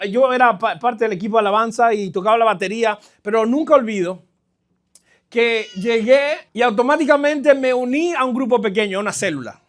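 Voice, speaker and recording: A middle-aged man with a Caribbean Spanish accent giving a conversational, halting sermon as a guest pastor, addressing the congregation directly. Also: high-pitched. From New Jersey, of Caribbean family. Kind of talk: live sermon, personal testimony. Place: church, speaking to a congregation.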